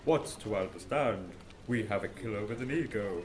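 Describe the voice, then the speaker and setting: A man's voice: lowish voice, expressive, normal speed, crowd noise, natural voice. A man playing an arrogant, coolly analytical male detective in an amateur audio drama, speaking in a superior, matter-of-fact way.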